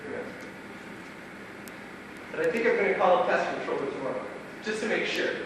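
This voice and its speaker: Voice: mid-range voice, animated, moderate pace, lightly acted. Stage actor playing anguished fearful character, hesitant and pleading, live amateur drama, distant, noisy hall recording. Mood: weary. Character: frightened, anguished, childlike person haunted by dread. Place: small theatre stage.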